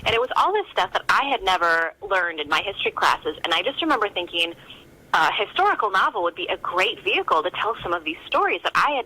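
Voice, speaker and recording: A woman's voice: medium pitch, sharp tone, dry recording, poor audio. A middle-aged American woman talking conversationally as an interview guest. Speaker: author, podcast guest.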